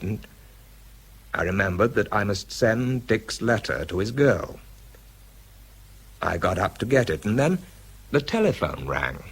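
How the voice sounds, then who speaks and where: A weary, troubled British scientist telling his own story in a radio play. The voice is deep and husky, measured and confiding. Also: heavily acted. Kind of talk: dramatised first-person narration.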